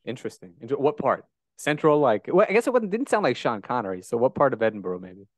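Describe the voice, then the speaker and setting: Chatty American host, Great Plains accent. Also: male voice, medium pitch, big pitch swings, fast, dry recording. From United States. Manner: curious, chatty, many restarts. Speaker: podcast host. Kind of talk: casual interview chat. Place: bright room at home. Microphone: handheld dynamic mic close up.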